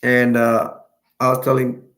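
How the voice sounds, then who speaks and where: A young man from Texas chatting casually on a live stream, answering viewers in a rambling, halting way with many restarts. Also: mid-range voice, completely flat delivery, fairly bright tone. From Texas, United States.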